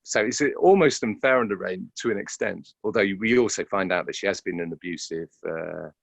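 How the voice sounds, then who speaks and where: A man's voice: low-pitched, brisk, vocal fry, husky, dry recording. A man reflecting in an interview, speaking hesitantly and repeating words as he thinks aloud. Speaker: interviewed actor.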